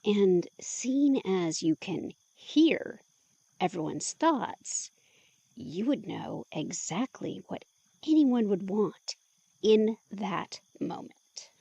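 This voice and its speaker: An actress playing a curious, teasing young woman with a husky, gravelly voice in an ASMR audio drama, speaking close to the mic. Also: mid-range voice, sing-song, drawn-out words, warm tone, no echo, heavily acted. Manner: teasing, playful, drawling.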